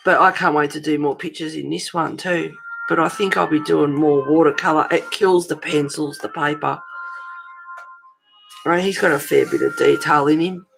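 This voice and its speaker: A woman with an Australian accent and a creaky voice, chatting informally and encouragingly as the host of a casual live stream, rambling a little. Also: sits low, fairly even intonation, moderate pace, music in the background, poor audio. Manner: rambling, informal, encouraging, addressing viewers as guys.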